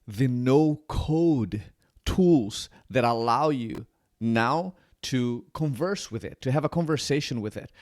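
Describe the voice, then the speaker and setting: A man's voice: mid-range voice, darkish tone, no echo, studio quality. A man in his forties from Brazil with a Brazilian accent, talking casually to camera as a channel host, rambling with many restarts. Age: 40s.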